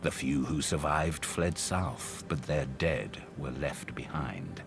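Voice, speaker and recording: An actor playing a grave, wise old mystic in a video game, with a gravelly, measured, ominous delivery. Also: male voice, rumbling low, music in the background, heavily acted. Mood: solemn, foreboding. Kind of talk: solemn video game storytelling.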